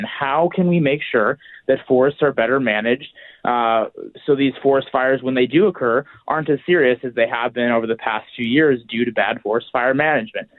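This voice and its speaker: A man's voice: mid-range voice, no echo. A young adult man with an American accent, giving a radio interview as an activist guest. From United States. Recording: thin, band-limited phone-like line.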